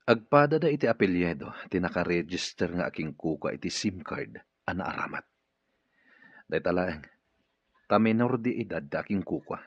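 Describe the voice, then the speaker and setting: A man's voice: low voice, animated, moderate pace, breathy edge, no echo. An actor with an Ilocano Filipino accent playing a stern male boss in a radio drama. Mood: grave, explaining. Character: stern male boss, a patient relearning to walk. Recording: dull, lo-fi radio drama recording.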